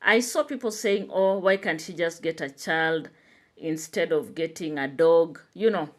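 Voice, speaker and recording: A woman's voice: low-pitched, measured pace. A woman, a YouTube vlogger giving chatty commentary to her viewers, full of "you know" fillers. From Kenya.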